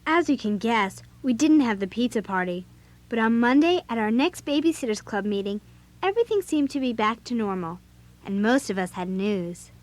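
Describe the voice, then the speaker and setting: A woman's voice: fairly high pitch, lively intonation, normal speed, natural voice. A young female actor playing an outspoken, chatty preteen girl in a children's audio drama. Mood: earnest. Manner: blunt, eager, chatty. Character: outspoken preteen girl club leader and storyteller.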